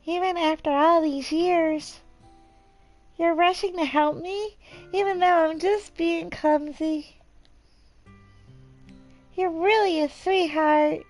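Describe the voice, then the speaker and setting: A woman voicing a sweet, clumsy, sentimental teenage girl in a visual novel read-aloud, with soft, earnest delivery. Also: extremely high pitch, slow pace, long pauses, soft background music, voice pushed up. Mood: fond.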